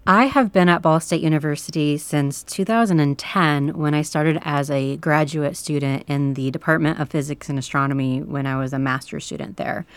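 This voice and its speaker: A woman in her thirties, a planetarium director, talking in a calm, soothing way in an interview inside a planetarium dome. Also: low-pitched, moderate pace, hardly any pauses, clear, resonant tone, dry recording.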